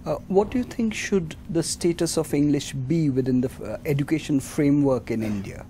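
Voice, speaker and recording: An interviewer asking questions with halting, hesitant openings. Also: medium pitch, warm tone, slight background noise.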